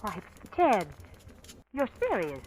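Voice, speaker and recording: An actress playing a young wife in an old-time radio drama, affectionate, coaxing and teasing. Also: female voice, medium pitch, takes it slowly. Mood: puzzled. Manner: expressive, coaxing, wifely teasing. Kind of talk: 1940s-50s radio drama acting. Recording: noisy vintage radio recording.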